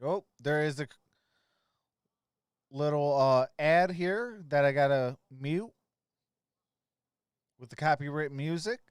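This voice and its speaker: A man's voice: a little high, slow, pauses often, smooth, no echo, studio quality. A man doing amateur play-by-play of a basketball game as a stream host, in terse, clipped phrases. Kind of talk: amateur play-by-play commentary and score updates.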